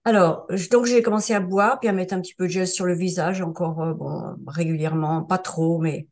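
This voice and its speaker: An older woman around seventy, originally from France, speaks in a somewhat low voice. She tells her story casually and haltingly, often pausing on fillers and starting over. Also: steady tone, a little mellow. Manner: hesitant, many fillers and restarts. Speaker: interview guest sharing a testimonial. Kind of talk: casual spoken testimonial.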